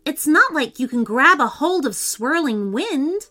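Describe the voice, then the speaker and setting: A woman voicing an earnest, excitable young boy prince in a children's story, with wide-eyed exclamations. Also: sits high, a little slow, soft background music, exaggerated voice. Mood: puzzled.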